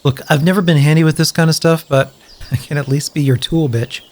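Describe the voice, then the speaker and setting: An actor playing a sincere, joking wasteland survivor in an audio drama, speaking earnestly and hesitantly with wry, profane humour. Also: male voice, on the high side, steady tone, brisk, a little mellow, close to an everyday voice. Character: sincere, grateful, joking male wasteland survivor, loyal friend. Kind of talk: audio drama dialogue, naturalistic.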